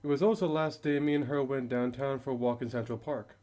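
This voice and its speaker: Radio drama dad: man as worried, earnest father, reflective, average pitch. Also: steady tone, fast talker, mellow, lightly acted. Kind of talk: amateur radio drama acting.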